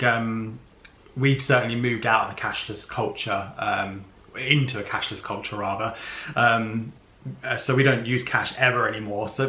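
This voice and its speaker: Vlogger: man, mid-pitched, chatty and explanatory, roomy echo. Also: sharp tone. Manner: chatty, explanatory, many ums. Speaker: travel vlogger. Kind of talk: casual planning chat. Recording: echoey room.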